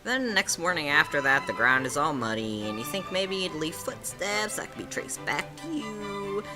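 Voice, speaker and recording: Low, animated woman narrating a story game. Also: moderate pace, poor audio. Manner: playful, sardonic. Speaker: host reading a choice-based story game aloud. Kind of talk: casual playthrough narration with banter. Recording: music playing behind the voice.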